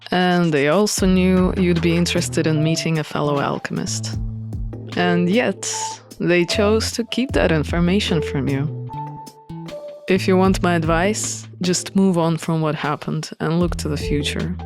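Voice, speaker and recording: A woman's voice: fairly low pitch, measured pace, pitched below the performer's own voice. A woman voicing a guarded, offhand exiled prince in a visual novel, speaking in a dry, blunt manner. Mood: dry, matter-of-fact. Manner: offhand, dry, matter-of-fact. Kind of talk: visual novel character reading. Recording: music under the voice.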